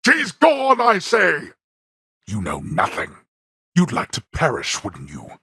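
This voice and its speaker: A man voicing a stern, scornful god of the dead and imperious father in a video game, with a booming, gravelly voice and formal, archaic phrasing. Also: huge pitch swings, slow pace, pushed and bright, no echo, studio quality, exaggerated voice. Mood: menacing, commanding. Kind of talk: video game character voice acting.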